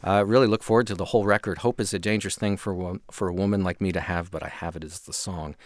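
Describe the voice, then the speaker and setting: A man's voice: low voice, steady tone, fast, no echo, clean studio sound. A man co-hosting a music show, talking casually and thoughtfully, sometimes searching for his words.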